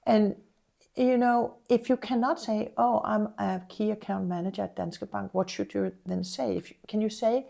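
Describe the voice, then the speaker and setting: A woman career coach talks things through in a relaxed conversation. Her voice is gravelly and warm, with a mellow tone. She reflects calmly and leans on everyday examples. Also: mid-range voice, moderate pace. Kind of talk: casual conversation.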